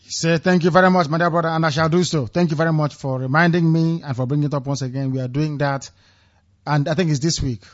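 A man's voice: fairly high pitch, fairly even intonation, fast talker. A man hosting a call-in radio show, speaking firmly and declaring his points while calling out again and again to callers on the line. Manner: firm, declaring, repeatedly hailing callers. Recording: dull lo-fi radio audio.

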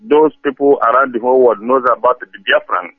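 A man with an accent from southeastern Nigeria calling in to a radio show, giving an earnest, halting and emphatic speech. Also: mid-range voice, breathy edge, dry recording. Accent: Nigerian Igbo-region. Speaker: phone-in caller. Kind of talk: earnest political monologue. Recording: tinny narrow phone line.

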